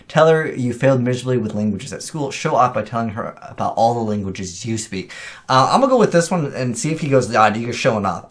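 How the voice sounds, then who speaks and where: A man hosting a let's play, who comments on the game in an excited, jokey way with loud bursts. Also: medium pitch. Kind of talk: gameplay commentary.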